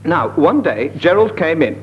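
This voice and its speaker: A man's voice: a little high, big pitch swings, moderate pace. A man improvising a comic story as a panel show contestant, on a muffled, noisy broadcast recording. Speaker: comedy panellist. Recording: muffled broadcast with loud background noise.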